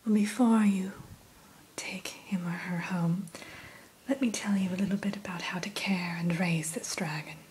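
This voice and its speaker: A woman whispering a gentle, courteous ASMR roleplay as the keeper of a fantasy creature shop, in a breathy voice. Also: fairly low pitch, low-quality recording. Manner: slow, gentle, courteous shopkeeper patter. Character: gentle, courteous female keeper of a dragon hatchery. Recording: steady hiss behind close whisper.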